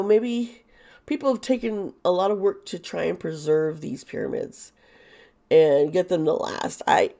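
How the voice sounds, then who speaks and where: Hoarse, slow-talking woman. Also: lowish voice, dark tone. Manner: rambling, chatty, sarcastic asides. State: hoarse, losing her voice from illness. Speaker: tarot reader. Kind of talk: casual monologue.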